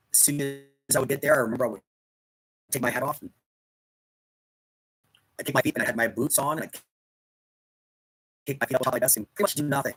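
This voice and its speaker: A man in his fifties reminiscing casually in a rambling, halting monologue, often repeating words as he goes. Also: medium pitch, pushed and bright, breathy edge, low-quality recording. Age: 50s. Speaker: storyteller recounting military service.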